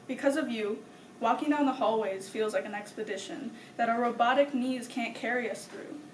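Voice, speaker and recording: A young woman in her late teens performing a slam poem at a writing camp, with an earnest, rhythmic spoken-word delivery. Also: on the high side. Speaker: poet. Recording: echoey room from far off, faint hiss.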